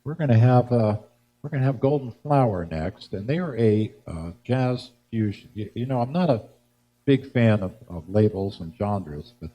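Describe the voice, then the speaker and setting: A man's voice: lowish voice, animated, moderate pace, warm tone. An older man hosting a live music show with casual stage banter, often hesitating and repeating his words.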